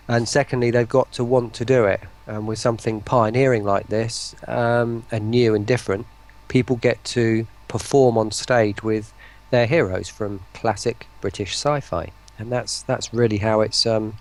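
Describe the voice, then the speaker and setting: A man giving informal, halting answers as a guest in a casual chat. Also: on the low side. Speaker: interview guest, voice pack producer.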